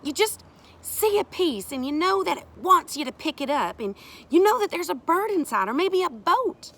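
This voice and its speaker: A woman voicing a gentle, patient, folksy country woman in a storybook, reassuring and plain-spoken. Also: very high-pitched, sing-song, normal speed, pitched above the performer's own voice, full character voice. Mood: patient explaining.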